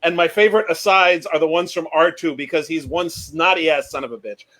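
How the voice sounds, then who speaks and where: A man's voice: on the high side, brisk, a bit bright. A man giving casual opinions as a panelist on a slightly noisy video call.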